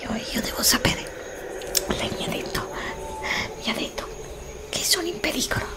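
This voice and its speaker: A middle-aged woman playing a frightened young woman lost in a dark forest in an ASMR roleplay, pressing her companion with anxious, pleading whispers. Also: normal speed, natural voice. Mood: fearful. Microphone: desk condenser mic close up.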